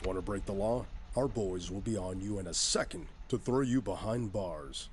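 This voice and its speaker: A stern city cop from a video game, played by a man, swaggering and menacing in a folksy way. His voice sits on the low side, with noise clearly behind it. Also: moderate pace, lightly acted. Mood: stern warning. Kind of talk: scripted video game cutscene.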